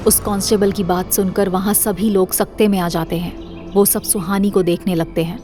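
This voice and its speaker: A woman's voice: mid-range voice, level tone, normal speed, dark tone, faint music behind. A woman narrator reading a scripted horror story for an audio drama series.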